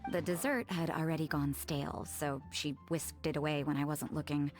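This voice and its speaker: Low-voiced, deadpan rebel nun played by a woman. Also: moderate pace, natural voice. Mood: aloof, dry. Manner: dry, deadpan, unbothered. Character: aloof, world-weary young woman, a blunt rebellious nun. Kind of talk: video game voice acting. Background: music.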